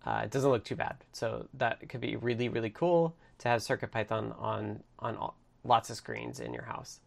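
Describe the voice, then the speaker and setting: Creaky-voiced man hosting a team meeting. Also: mid-range voice, normal speed. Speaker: meeting host. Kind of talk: casual online team meeting updates, partly reading notes aloud.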